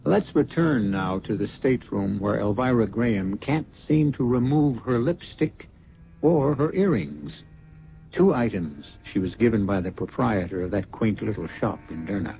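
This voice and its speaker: A man's voice: lowish voice, lively intonation, normal speed, creaky, slight background noise. A man hosting a suspense radio drama, narrating as a wry, conversational storyteller. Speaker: host and narrator. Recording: muffled old radio recording with music.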